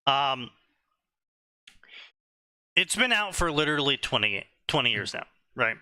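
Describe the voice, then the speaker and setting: A man co-hosting a casual stream, talking slowly and deliberately, often checking "right?". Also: mid-range voice, big pitch swings, sharp tone, clean tone, no room sound, clean studio sound. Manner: explanatory, slow and deliberate, asks "right?" often. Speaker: stream co-host.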